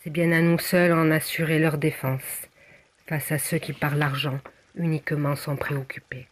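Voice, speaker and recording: A person from southwest France reciting a poem in measured, declamatory phrases with deliberate pauses. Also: medium pitch, little pitch movement. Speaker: poem reader. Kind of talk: recited spoken-word poem.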